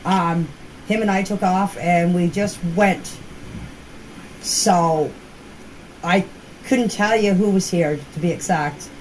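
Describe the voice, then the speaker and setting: A woman's voice: fairly low pitch, slow pace, long pauses, a little mellow. An older woman informally recounting events in a hesitant, repetitive way, as if giving her own account in voice messages. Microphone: phone voice messages. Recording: noisy amateur voice message recording.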